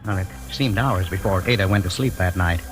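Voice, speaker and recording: An actor playing a timid, henpecked husband in a 1940s radio drama, with a hesitant, flustered manner. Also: male voice, low voice, a little fast, close to an everyday voice. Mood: hushed suspense. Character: timid, henpecked husband, a nervous dreamer telling his tale. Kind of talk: 1940s radio acting, first-person narration and dialogue. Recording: old radio broadcast with music.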